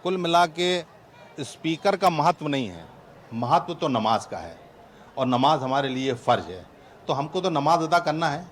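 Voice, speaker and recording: A man giving a plain, measured statement to the press as a spokesman. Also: on the high side, lively intonation. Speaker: organisation spokesman.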